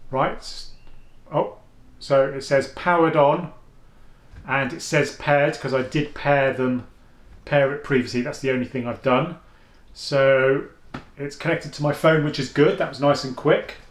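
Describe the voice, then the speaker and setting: A man's voice: fairly even intonation, measured pace, echoey room. A man, chatting through a casual headphone review at home and thinking aloud as he goes. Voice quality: deep voice. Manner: chatty, thinking aloud, with frequent restarts and fillers. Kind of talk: casual product review vlog. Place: at home, indoors.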